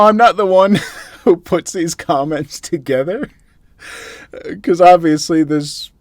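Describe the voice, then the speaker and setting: A man reads viewer stories aloud in a soothing voice that still rises and falls in a lively way, sitting a little high for a man. His asides are chatty and self-mocking, and the sound is dry and close, with no room around it. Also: dry recording. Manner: lively, laughs at himself in the asides. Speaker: narrator of a storytelling channel.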